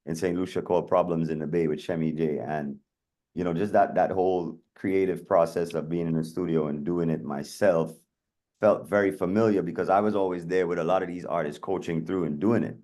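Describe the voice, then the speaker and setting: Deep-voiced Atlanta man telling stories. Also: steady tone, fairly quick, warm tone. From Atlanta, USA. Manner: chatty, lots of "you know" fillers. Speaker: guest DJ and producer. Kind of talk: casual interview storytelling.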